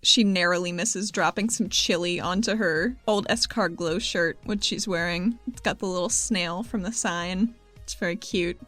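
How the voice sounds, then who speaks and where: A woman's voice: lowish voice, normal speed, fairly bright tone, no room sound. A woman playing a tabletop game, casually improvising descriptions of the scene and her character. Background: music.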